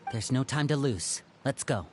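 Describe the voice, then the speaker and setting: A man's voice: medium pitch, lively intonation, moderate pace, a little hiss or hum, close to an everyday voice. A man voicing a polished, theatrical young brother who leads and schemes in a video game. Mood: composed. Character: theatrical, smooth-talking young brother, ringleader, composed schemer.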